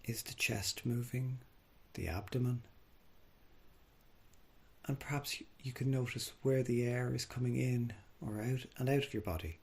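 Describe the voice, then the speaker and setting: A man leading a guided body scan meditation, speaking gently and without hurry, leaving long pauses. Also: medium pitch, steady tone, gravelly, breathy edge. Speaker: mindfulness meditation teacher.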